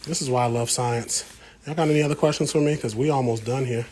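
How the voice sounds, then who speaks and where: An American man talks over clear background noise in a mid-pitched voice. He hosts a casual livestream, speaking straight to viewers in folksy, slangy phrases while he keeps busy with a task. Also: steady tone, fast, a little mellow. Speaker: plant vlogger hosting a livestream.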